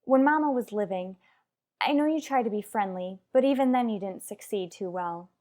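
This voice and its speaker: A woman's voice: medium pitch, moderate pace, darkish tone, natural voice. A woman playing a headstrong married mother in a radio soap, lecturing her rival bluntly and emphatically. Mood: earnest, tense. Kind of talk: radio soap opera acting.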